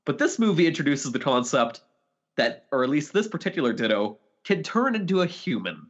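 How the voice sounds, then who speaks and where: A man's voice: fairly high pitch, big pitch swings, brisk. A man co-hosting a podcast in casual chat, recorded with a slightly dull, lo-fi sound. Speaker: podcast co-host.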